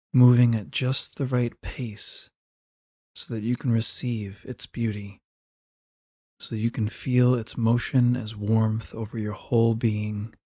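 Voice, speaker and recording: A man narrating a scripted guided meditation in a gentle, soothing manner, giving soft, unhurried instructions close to the mic. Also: lowish voice, no echo.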